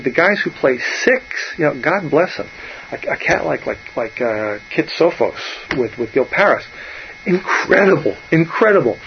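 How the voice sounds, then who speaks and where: A man, a bass player chatting casually and rambling on, often trailing off softly. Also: lo-fi sound, slight background noise. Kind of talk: casual musician chat.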